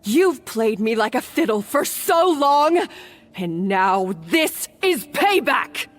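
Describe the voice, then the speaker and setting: A woman's voice: high voice, sing-song, takes it slowly, soft background music, heavily acted. An actress playing a bitter, guilt-ridden woman accomplice in a video game who pushes back against her tormentor. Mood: defiant. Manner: tense, resentful.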